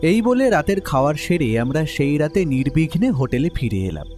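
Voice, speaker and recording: An actor playing a detective's curious, slightly anxious friend, telling the story expressively. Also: male voice, on the high side, very animated, normal speed, darkish tone. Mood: narrating. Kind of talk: dramatised first-person story narration. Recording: background music under the voice.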